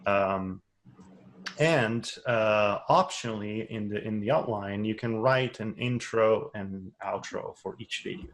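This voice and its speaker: A man's voice: fairly low pitch, slow pace. A man, not a native English speaker, teaching a webinar lecture in a conversational way with frequent restarts and repeated words. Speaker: course instructor.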